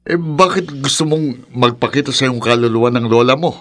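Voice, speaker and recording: A man's voice: mid-range voice, fairly quick, a bit bright, close to an everyday voice. A man playing a concerned, sceptical father of grown daughters in a radio drama, questioning and reassuring. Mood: puzzled. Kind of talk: horror radio drama acting. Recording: clear background noise.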